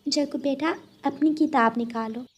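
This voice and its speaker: A woman voicing a gentle, sensible mother bird in a children's moral cartoon, speaking instructively. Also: sits high, moderate pace, close to an everyday voice.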